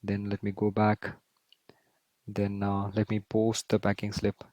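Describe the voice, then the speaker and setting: A man presenting a screen-share software tutorial, explaining step by step and often repeating himself. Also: lowish voice, monotone, unhurried, no room sound. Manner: step-by-step, repetitive explaining, often checks with "right?".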